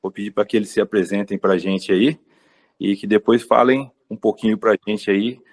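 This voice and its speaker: A man hosts a webinar, introducing his guests and putting questions to them. His voice is on the low side and his speech is loose, padded with small filler words. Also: steady tone, moderate pace. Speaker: webinar host. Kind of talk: hosting a webinar.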